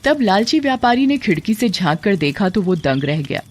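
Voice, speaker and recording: A woman's voice: mid-range voice, big pitch swings, moderate pace. A woman narrating a scripted moral tale as storyteller.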